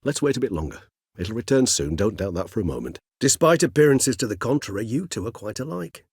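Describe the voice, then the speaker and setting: An ancient, learned vampire gentleman from a fantasy video game. His voice is husky and rises and falls expressively, recorded very dry. He chooses his words with formal, wry courtesy and measured precision. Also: mid-range voice, animated, dry recording. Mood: scholarly, measured. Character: urbane, erudite ancient male vampire, courteous and loyal. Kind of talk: video game character acting.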